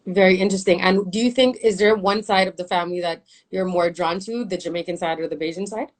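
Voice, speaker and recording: Woman, interview host, lowish voice, chatty, animated. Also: quick pace. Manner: chatty and animated, laughs often, asks follow-up questions. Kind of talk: casual interview questions.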